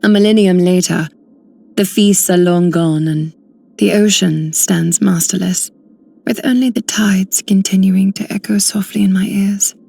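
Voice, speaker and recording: A woman playing a regal, composed noblewoman in a video game, with breathy, stately and poetic delivery. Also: medium pitch, steady tone, measured pace, dark tone. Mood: wistful. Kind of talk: scripted game character voicelines.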